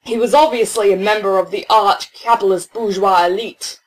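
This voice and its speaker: A woman's voice: medium pitch, steady tone, normal speed, low-quality recording. A woman narrating a scripted first-person story.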